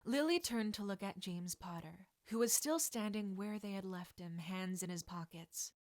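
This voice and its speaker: A woman's voice: mid-range voice, moderate pace, no room sound, studio quality. A woman reading a scripted piece of fiction aloud as a narrator. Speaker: podfic narrator.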